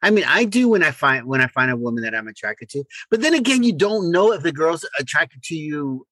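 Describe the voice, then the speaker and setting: High-voiced middle-aged man, lively inflection. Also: fairly quick, dry recording. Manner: inquisitive, prompting with questions. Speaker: podcast co-host. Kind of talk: casual chat.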